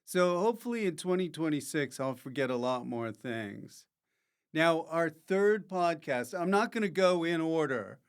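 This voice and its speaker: A man hosting a comic podcast in a rambling, forgetful, jokey way, often halting as he loses his thread. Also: on the high side, animated, clear, resonant tone. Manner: jokey, prone to loud mock announcements. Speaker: podcast host.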